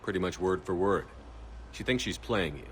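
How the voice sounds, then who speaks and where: An actor playing a steady, principled military commander in a video game, level-headed and plain-spoken. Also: male voice, low voice, moderate pace, a little hiss or hum. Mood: wary, dry.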